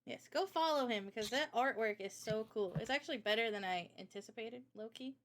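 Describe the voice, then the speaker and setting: A woman with a slightly high voice talks in a relaxed livestream presentation style. She explains her work as a game developer in informal, slangy language. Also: brisk. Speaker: game developer presenting a visual novel project.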